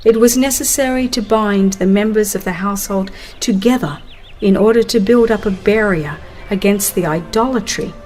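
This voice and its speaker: A woman reading a religious book aloud as dramatized scripted narration, solemn, earnest and measured. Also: mid-range voice, a little mellow. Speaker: audiobook narrator.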